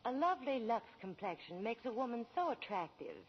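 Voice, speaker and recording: An American woman in the role of a 1940s radio Hollywood reporter. She is gushing and polished, with very lively intonation and a husky edge, on a dull recording. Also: a little high, a little fast. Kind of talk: scripted 1940s radio promotional chat. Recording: dull, reverberant old radio broadcast.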